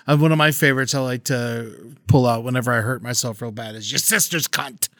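A man's voice: medium pitch, brisk, a bit bright, dry recording, studio quality. A man co-hosting a comedy podcast, telling profane, rambling stories and running a game. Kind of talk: crude comedy podcast banter. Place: podcast studio.

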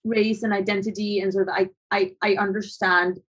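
A woman's voice: mid-range voice, level tone, normal speed, slightly rough, muffled recording. A young adult woman photographer from Colombia, answering interview questions in a thoughtful, hesitant way with frequent restarts.

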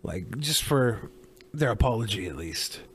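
A man's voice: lowish voice, big pitch swings, measured pace, a bit bright, breathy edge. A man co-hosting a podcast with casual, crude comedic banter, blunt and full of swearing. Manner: profane, blunt, joking. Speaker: podcast co-host.

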